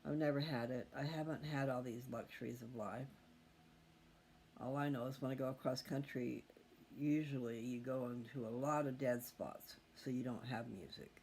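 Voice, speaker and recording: Folksy man with a gravelly creak. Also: mid-range voice, steady tone, normal speed, darkish tone. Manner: folksy, meandering, thinking aloud. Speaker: host of a scripture reading channel. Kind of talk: rambling casual chat to viewers. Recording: faint background noise.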